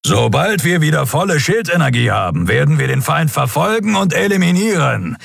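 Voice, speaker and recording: Gruff starship captain acted by a man, husky, stern, commanding, dry studio sound, audiobook. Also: a little high, big pitch swings, moderate pace, fairly bright tone, dry recording, pitched above the performer's own voice, exaggerated voice. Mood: stern, determined. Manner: grumbling, commanding.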